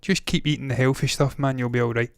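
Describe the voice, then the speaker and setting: Man in his thirties, movie news host, opinionated, rambling, smooth resonant voice, dry sound. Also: mid-range voice, fairly even intonation, quick pace, no room sound. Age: 30s. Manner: opinionated, rambling, jokey asides. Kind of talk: casual movie news chat, reading chat.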